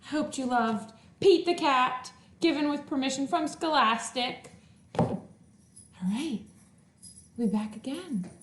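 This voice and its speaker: High-voiced woman teacher, unhurried and sing-song. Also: a little hiss or hum. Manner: animated, expressive, sing-song delivery. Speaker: first-grade teacher. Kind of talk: read-aloud storytelling for young children. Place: indoors at home. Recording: roomy home recording with echo.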